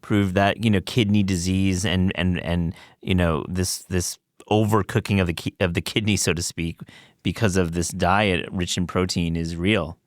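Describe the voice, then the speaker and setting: A man's voice: deep voice, fairly even intonation, moderate pace, no echo, clean studio sound. A man hosting a podcast in casual interview chat, informal and conversational, readily agreeing with his guest. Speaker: podcast host.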